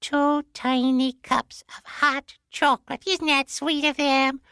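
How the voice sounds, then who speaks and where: A man voicing tiny, excitable, sweet-natured storybook elves in a squeaky, stammering manner. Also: slow pace, no echo, clean studio sound, full character voice. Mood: delighted.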